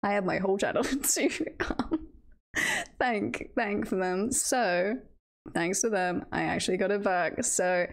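Slow-paced woman chatting on stream. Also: medium pitch. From United Kingdom. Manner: giggly, self-deprecating, halting. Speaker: livestreamer, VTuber host. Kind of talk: casual livestream chat, storytelling.

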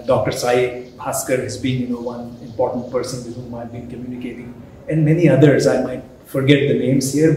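A middle-aged man with an Indian English accent gives a formal lecture at a podium, pausing often with "uh" and restarting phrases. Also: mid-range voice, a little mellow. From India (Hyderabad). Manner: halting, many "uh" fillers and restarts. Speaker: guest lecturer, professor. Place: large hall with a banner backdrop. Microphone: podium mic, filmed from a distance. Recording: very echoey hall, faint noise.

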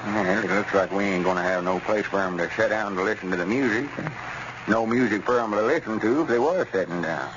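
A man's voice: on the low side, fairly quick, natural voice. Man acting a self-important rural Arkansas storekeeper, old radio. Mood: disgruntled. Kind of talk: 1930s-40s radio comedy acting. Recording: muffled, noisy old radio recording.

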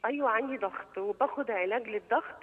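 A woman's voice: a little high, quick pace, husky. A woman in her fifties with an Egyptian accent calling a TV show, politely and hesitantly listing her pains. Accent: Egyptian Arabic. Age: 50s. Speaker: caller. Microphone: telephone. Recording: tinny echoey phone line.

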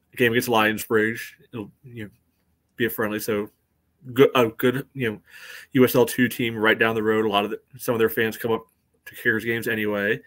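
A man in his thirties with a fairly low voice co-hosts a sports podcast. He gives casual fan commentary over a video call from a room at home. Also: a bit bright. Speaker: soccer podcast co-host.

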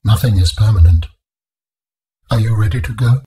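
Husky, solemn ancient alien being. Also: male voice, fairly low pitch, mellow. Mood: calm, formal. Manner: formal, measured, grave phrasing. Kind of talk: video game voice acting.

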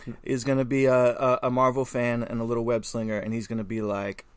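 A man's voice: mid-range voice, flat delivery, quick pace, clean tone, no room sound. A man co-hosting a podcast, chatting casually and telling anecdotes. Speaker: podcast co-host.

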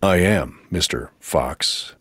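An actor playing a stern, skeptical military officer in a video game, questioning a prisoner in a dry, formal way. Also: male voice, low voice, slow, fairly bright tone, husky, no echo, heavily acted.

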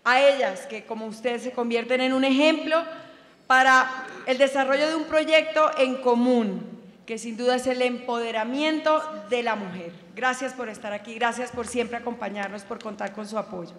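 A woman's voice: fairly high pitch, normal speed, a bit bright. A woman presenting a formal ceremony from a script at a podium microphone. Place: on stage in a large auditorium. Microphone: podium microphone on a stand. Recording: echoey hall through PA microphone.

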